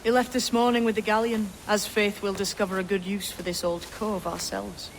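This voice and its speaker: A woman's voice: medium pitch, little pitch movement, normal speed, creaky, background noise, close to an everyday voice. A woman playing a shrewd, enigmatic young pirate in a game, talking in a knowing, informal seafaring way. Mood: matter-of-fact. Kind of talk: game cutscene drama acting.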